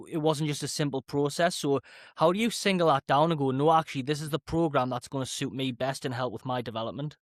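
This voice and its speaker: A man's voice: a little high, no room sound, studio quality. A British man hosting an interview, asking long, chatty questions that tend to drift off track. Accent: British English. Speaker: podcast host. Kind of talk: casual interview.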